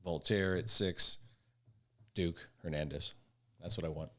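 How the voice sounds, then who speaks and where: A man's voice: deep voice, fairly even intonation, unhurried, bright, forceful tone. An American man discussing sports casually as a podcast panelist.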